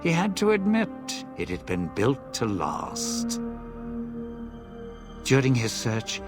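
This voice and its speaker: An actor narrating a video game epilogue as a wry, worldly storyteller with deliberate, dramatic pauses. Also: male voice, on the low side, exaggerated voice. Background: music.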